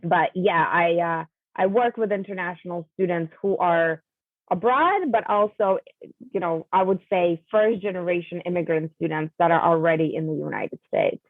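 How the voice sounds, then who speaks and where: A woman whose first language is Russian answers interview questions in a measured way, pausing on fillers and restarting her phrases. Her voice sits a little low. The sound is thin and phone-like, with no room around it. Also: no echo. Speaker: guest, college admissions coach. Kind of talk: conversational interview. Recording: tinny phone-like line, dry.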